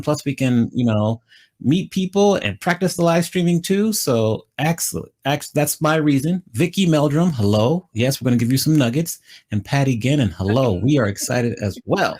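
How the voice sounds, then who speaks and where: A man of middle pitch co-hosting a live-streamed talk show. He sounds upbeat and friendly as he calls out viewers from the chat and hands off questions. He talks casually, with loose fillers, over a video call. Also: a little mellow. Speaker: co-host.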